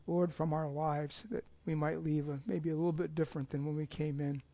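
An older man preaching in a church with a slightly high, hoarse voice. He talks in a rambling, self-deprecating way, full of fillers and restarts, and wears a clip-on mic. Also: little pitch movement, fairly quick, warm tone. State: losing his voice. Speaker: lay preacher. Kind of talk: informal sermon with opening prayer.

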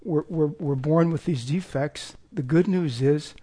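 An older man with a hoarse voice, as he is losing it, giving an informal sermon after an opening prayer, rambling and self-deprecating, with many fillers and restarts. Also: a little high, measured pace, warm tone. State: losing his voice. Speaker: lay preacher.

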